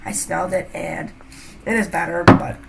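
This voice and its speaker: A woman's voice: on the low side, fairly even intonation, normal speed, vocal fry, heavy background noise. A young woman with a cold, chatting casually to camera as a fragrance reviewer, rambling with lots of fillers. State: has a cold, a bit congested.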